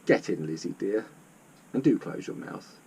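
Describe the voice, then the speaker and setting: A man's voice: sits low, expressive, moderate pace, warm tone, slightly rough, pitched below the performer's own voice, exaggerated voice. A man voicing a gracious, commanding older lady with a very posh upper-class British accent in a storybook. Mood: fond. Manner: very posh, genteel.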